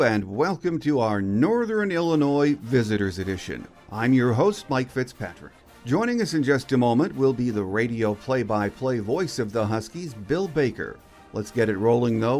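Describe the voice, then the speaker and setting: A man's voice: medium pitch, a little mellow. A man in his sixties with an American accent, hosting a sports podcast with introductions and interview questions.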